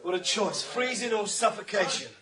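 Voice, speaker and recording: A man's voice: high-pitched, animated, normal speed, a crowd in the background. An actor playing a grumbling, sardonic British policeman in a radio thriller, wry and full of slang. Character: grumbling, sardonic male police colleague of the inspector.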